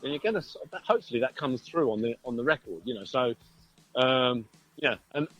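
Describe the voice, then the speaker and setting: A man's voice: mid-range voice, lively intonation, fairly quick, fairly bright tone, dry recording, highs cut off. A man, a musician being interviewed, talking casually in a hesitant, rambling way. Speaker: band musician, interview guest.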